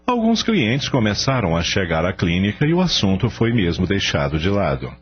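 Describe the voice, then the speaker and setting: Low, expressive male narrator over music. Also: moderate pace. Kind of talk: scripted dramatic storytelling. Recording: dull radio-like audio, music behind.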